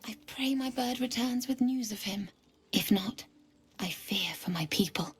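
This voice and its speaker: An actress playing a gentle, worried, resigned druid woman in a video game, hushed and troubled. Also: on the high side, a little slow, natural voice.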